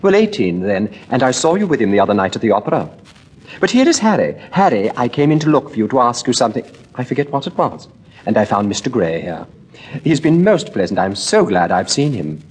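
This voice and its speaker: A man's voice: big pitch swings, darkish tone, husky, rough recording. A man voicing a chatty, scatterbrained society lady in a storybook reading, with flighty chatter. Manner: rapid, flighty, high-pitched chatter.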